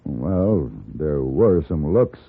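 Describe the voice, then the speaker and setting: An actor playing a calm, wry American gunfighter in an old-time radio western, measured and plain-spoken in narration and dialogue. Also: male voice, extremely low pitch, exaggerated voice. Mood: polite, surprised. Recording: tinny old radio broadcast with music.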